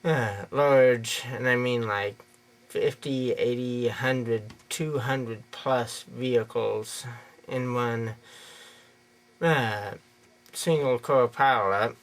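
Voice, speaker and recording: An American man talks at an unhurried, slow pace with a noticeable creaky fry in his voice. He gives his opinion off the cuff, rambling a little in plain, folksy wording. His pitch sits in an ordinary male middle range. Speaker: video creator giving an opinion. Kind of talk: unscripted opinion monologue.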